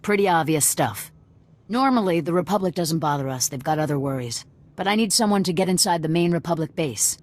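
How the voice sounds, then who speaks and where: An actress playing a wary, commanding female leader of a rebel cell in a video game, with a brisk, probing, businesslike manner. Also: sits low, lively intonation, a little mellow. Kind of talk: video game dialogue acting.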